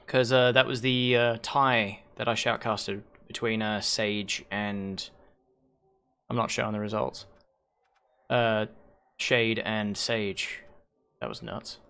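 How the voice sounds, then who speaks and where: A hoarse man hosting a league stream on a headset mic, rambling and correcting himself as he thinks aloud. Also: lowish voice, steady tone, slow, pushed and bright. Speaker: league host and shoutcaster. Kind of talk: casual livestream commentary.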